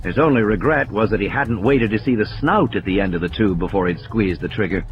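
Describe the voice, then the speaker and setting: A man's voice: lowish voice, animated, brisk, highs cut off. A man reading dramatic, scripted audiobook narration as the narrator of a story. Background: music bed.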